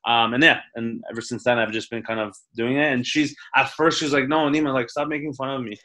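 A man's voice: medium pitch, steady tone, fast talker, bright, forceful tone. A young man, a stand-up comedian from the Toronto area, chatting in an interview, rambling with restarts and casual swearing. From Toronto area, Canada.

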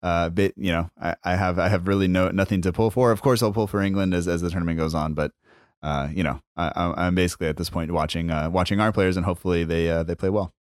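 A man's voice: low voice, fairly even intonation, fast talker, clean tone, dry recording, studio quality. A man hosting a podcast, talking in an easygoing, loosely phrased way. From United States. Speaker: podcast host. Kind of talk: casual podcast chat.

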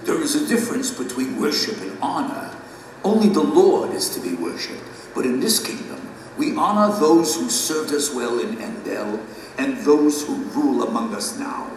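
A distant, echoing voice fills a great hall with faint noise. It is an actor as a reverent male guide in an audio drama, gentle and formal, measured in every phrase. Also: medium pitch, animated, close to an everyday voice. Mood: earnest gratitude.

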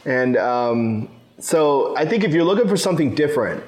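A man's voice: medium pitch, sing-song, moderate pace, a little mellow. A man co-hosting a casual gaming podcast, rambling and restarting his sentences, agreeing with lots of yeahs. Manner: rambling, repeats yeah a lot, restarts sentences. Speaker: podcast co-host. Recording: roomy echo.

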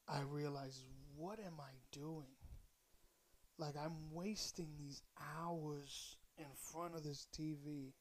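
A man hosting his own podcast in a casual, rambling way, a little hoarse as he comes down with a cold. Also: a little high. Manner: rambling, loose, swears casually. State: slightly hoarse, coming down with a cold. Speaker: podcast host. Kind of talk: casual solo podcast chat.

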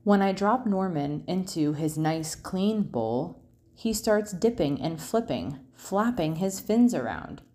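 Slow-paced woman reading a storybook. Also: on the low side, expressive, warm tone. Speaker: picture book read-aloud narrator. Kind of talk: expressive children's storybook reading.

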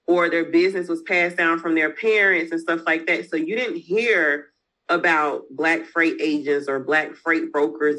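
A woman's voice: sits low, a bit bright. A woman entrepreneur talking casually as an interview guest, speaking frankly with slang and the odd curse. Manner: candid, frank, uses slang and mild cursing.

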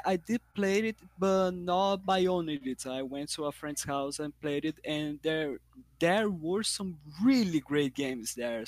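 Brazilian-accented man, higher voice, dry sound. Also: dry recording. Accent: Brazilian Portuguese. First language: Portuguese. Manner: laughs often, playful, halting restarts. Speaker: podcast co-host. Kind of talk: casual gaming chat.

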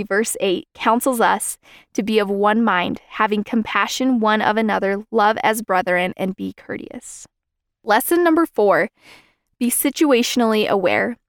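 A young woman hosting a podcast, giving an earnest, measured scripted talk on faith and belonging. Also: fairly high pitch, no room sound, studio quality. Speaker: podcast host.

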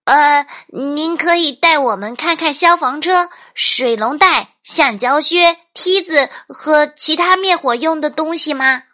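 A woman reader puts on a little boy from a picture book. The child sounds anxious and hesitant, with a high, bright, slightly whiny voice. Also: a little slow, few pauses. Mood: polite asking.

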